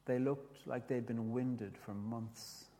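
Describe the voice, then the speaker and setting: A man with an Irish accent, whose first language is Irish, gently telling a reflective story with restarts and reading his own poetry. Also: mid-range voice, fairly even intonation, slow, long reverb tail. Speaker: speaker, poet.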